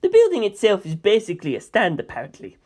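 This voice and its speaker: A woman voicing a composed, dryly evasive male mafia member in a measured, matter-of-fact way for a story reading. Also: mid-range voice, huge pitch swings, a little mellow.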